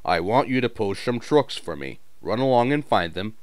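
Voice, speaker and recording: A man voicing a stern but kind railway boss in a storybook reading, speaking in a measured, formal way. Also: fairly low pitch, big pitch swings, no room sound.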